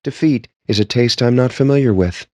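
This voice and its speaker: An actor playing a cocky, foul-mouthed, nerdy poker player in a video game, with a sardonic, smug and theatrically wordy delivery. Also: male voice, mid-range voice, brisk, darkish tone, no room sound, studio quality, close to an everyday voice. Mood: sour, defeated. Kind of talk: video game character banter.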